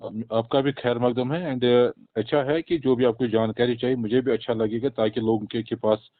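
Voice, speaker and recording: A man's voice: medium pitch, steady tone, normal speed. A man with a Kashmiri accent giving an official briefing over the phone, explaining things and mixing in English terms. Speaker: government official being interviewed. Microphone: phone call. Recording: narrow, tinny phone line.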